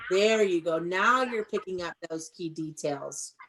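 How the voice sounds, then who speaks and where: A woman's voice: fairly low pitch, lively intonation, unhurried, fairly bright tone, poor audio. A woman teaching young children in an online read-aloud class, prompting them with questions and encouragement in an instructive way.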